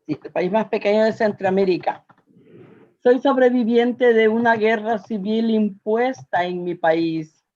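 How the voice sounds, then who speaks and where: Older Salvadoran woman, mid-pitched, giving earnest testimony in an unhurried, halting delivery; slightly dull recording. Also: darkish tone. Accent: Salvadoran Spanish. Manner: earnest, halting, with searching pauses and repetitions. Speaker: speaker giving personal testimony. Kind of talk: personal testimony to an audience.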